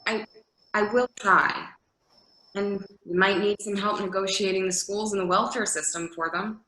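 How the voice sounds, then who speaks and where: Woman doing tired social worker daughter, guarded but cares, crisp bright sound, echoey room, stage reading. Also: mid-range voice, fairly even intonation, normal speed, slight background noise, natural voice. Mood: guarded, affectionate. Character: world-weary caseworker daughter, guarded but caring, middle-aged. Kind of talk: readers theater scene. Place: indoor room on a video call. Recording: echoey room on video call.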